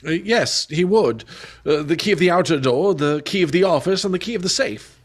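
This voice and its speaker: A man playing a gruff, nervous middle-aged senior clerk in a radio play, hesitant and agitated, with a husky voice. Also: fairly high pitch, animated, a little fast.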